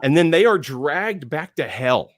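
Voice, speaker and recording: A man speaking as a panelist in enthusiastic film talk, emphatic, with dramatic pauses and phrases repeated for effect. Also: a little high, animated, dry recording, studio quality.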